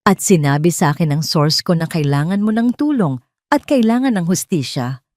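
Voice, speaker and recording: A woman's voice: on the low side, expressive, normal speed, darkish tone, no echo, close to an everyday voice. Woman voicing an earnest Filipino male journalist, dry sound. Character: earnest male investigative journalist offering secret help.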